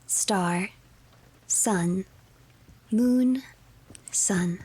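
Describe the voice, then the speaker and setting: Woman streamer doing story narration over a game, measured, medium pitch, reads files out loud. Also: slightly rough, poor audio. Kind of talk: scripted story narration and reading files aloud.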